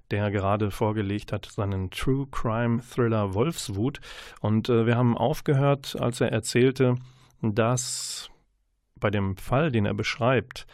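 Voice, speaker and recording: Ein Mann moderiert eine Büchersendung im Radio. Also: male voice, lowish voice, a little mellow, no echo, clean studio sound. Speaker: radio presenter. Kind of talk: radio book show presentation.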